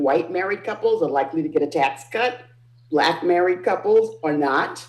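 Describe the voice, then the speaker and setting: A woman's voice: on the low side, a little mellow. A woman author explaining ideas on a panel, making emphatic points in parallel phrases. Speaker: author discussing own book. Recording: roomy, echoing sound.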